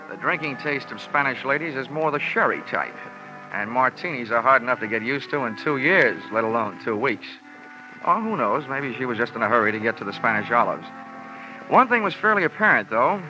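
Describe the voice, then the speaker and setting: An actor playing a sardonic, hardboiled American insurance investigator in an old-time radio noir, narrating and wisecracking. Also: male voice, medium pitch, natural voice. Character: wry, hardboiled male insurance investigator narrating his case. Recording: dull old radio recording.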